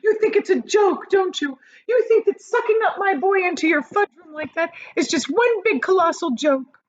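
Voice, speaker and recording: A woman's voice: very high voice, expressive, normal speed, no echo, muffled recording, voice pushed up. A woman reading a storybook and voicing a hysterical, bossy, outraged mother who shrieks her demands indignantly.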